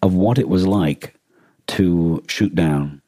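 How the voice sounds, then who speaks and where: Deep, husky man thinking aloud. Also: mellow, no room sound, studio quality. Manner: halting, thinking aloud, explanatory. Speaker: podcast co-host. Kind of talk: casual discussion of a radio drama.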